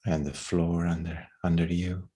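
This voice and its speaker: A man leading a guided meditation in a gentle, unhurried way, with long pauses between phrases. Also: sits low, level tone, slightly rough.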